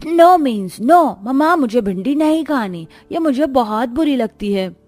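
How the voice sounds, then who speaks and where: A spoiled little boy played by a woman, high-pitched and demanding. The petulant cartoon child speaks over faint music. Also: animated, a little slow, darkish tone, dry recording.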